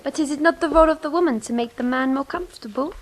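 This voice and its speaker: A woman playing a quick-talking mysterious court lady. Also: high-pitched, natural voice. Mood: probing. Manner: quick, probing questions.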